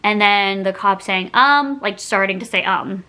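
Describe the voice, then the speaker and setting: A woman vlogger chatting informally to camera, telling a personal story in an animated, slangy way. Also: mid-range voice, a little slow, heavy background noise. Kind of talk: casual storytime chat to camera.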